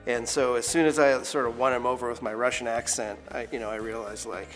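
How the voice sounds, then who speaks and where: A man in his forties, a recording studio owner, talking in a relaxed, rambling way with many fillers in a documentary interview. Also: medium pitch, brisk, faint music behind, low-quality recording. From United States.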